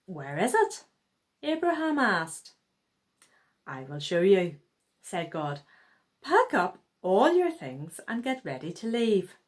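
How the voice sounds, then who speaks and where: A woman's voice: medium pitch, takes it slowly, pauses often. Woman reading a children's story, very expressively. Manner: expressive, acting out dialogue. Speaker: children's Bible story reader. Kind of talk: read-aloud children's story.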